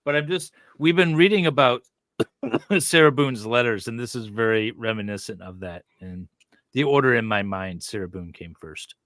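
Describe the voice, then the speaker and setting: A man's voice: mid-range voice, normal speed, fairly bright tone, dry recording. A man in casual chat as host, reading a web page aloud and adding sarcastic, mocking commentary.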